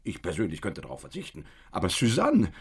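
An indignant middle-aged father in a radio play. His husky voice swoops wildly up and down, excitable and insistent, as if he has been cheated of what is his. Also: low voice, brisk, heavily acted. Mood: aggrieved, insistent. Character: indignant middle-aged French father, robbed lottery winner. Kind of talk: radio drama acting.

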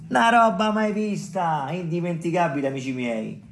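A man's voice: a little high, normal speed. An actor playing a garrulous, earthy village caretaker who survived smallpox, telling his tale in Roman dialect, colloquial and digressive, in a radio play. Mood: confiding, emphatic. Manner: colloquial, digressive, emphatic, addressing listeners directly. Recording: clear music behind the voice.

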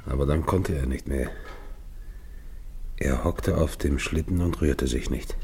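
An actor playing an anguished, guilt-ridden, dying polar expedition captain in a German radio play, with halting, brooding delivery. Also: male voice, rumbling low, a little mellow, slight background noise. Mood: grieving, weary.

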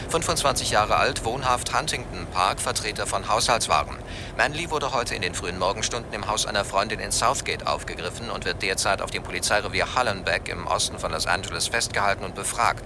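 An actor voicing a radio announcer in a radio play who reads a special news bulletin in a formal manner. His voice is fairly low. A clear layer of noise sits behind it. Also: lightly acted.

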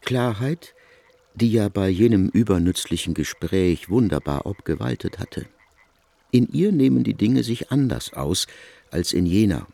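Learned narrator of a biblical epic, deep gravelly voice, big melodic swings, deliberate phrasing. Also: male voice, dark tone, no echo, full character voice. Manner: eloquent, deliberate phrasing, essayistic. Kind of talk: literary radio play narration.

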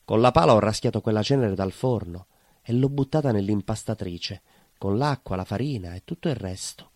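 Brooding baker, dry close sound. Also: male voice, lowish voice, lively intonation, darkish tone, no room sound. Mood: wry, bitter. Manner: brooding, dramatic pauses and repetitions. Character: bitter southern Italian baker confessing to vengeful murder. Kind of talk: theatrical monologue, intimate confessional storytelling.

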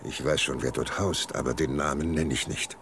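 An actor playing an old, secretive and superstitious village man in a radio play, with a gravelly voice and an ominous, deliberate delivery. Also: very deep voice, steady tone, fairly bright tone, slight background noise. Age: older. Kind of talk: German radio play acting.

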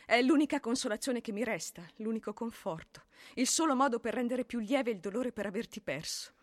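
Solemn grieving mother, played by an actress, slightly high. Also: expressive, a little fast, no room sound, studio quality, lightly acted. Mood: sorrowful, proud. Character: grieving, plain-spoken working-class Italian mother of fallen soldier. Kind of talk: theatrical radio drama monologue.

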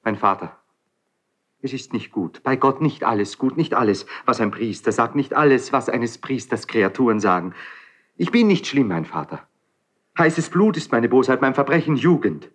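A man's voice: mid-range voice, expressive, moderate pace, natural voice. A man playing an ardent, hot-blooded young prince in classical verse radio drama, declaiming with passion. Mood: pleading. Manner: impassioned, declamatory.

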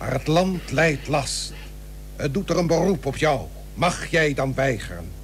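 Historical radio play: a persuasive shipowner-statesman, higher-voiced, earnest and formal, faint hum on the tape. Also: expressive. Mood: urging. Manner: formal archaic diction, measured, earnest. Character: Lampsens, grave 17th-century merchant shipowner and statesman, persuasive. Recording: old radio recording with hum and hiss.

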